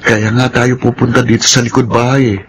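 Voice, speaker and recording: A man's voice: medium pitch, level tone, fairly quick, noisy background, close to an everyday voice. An actor with a Filipino accent playing a confident young man, a pushy, encouraging friend and love mentor, in a radio drama. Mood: urging, determined. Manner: urging, confident.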